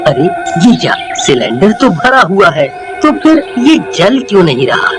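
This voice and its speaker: Puzzled, grumbling cartoon husband, played by a man: high-set male voice with music underneath. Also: huge pitch swings, a little slow, heavily acted. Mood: exasperated. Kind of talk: cartoon ghost story dialogue.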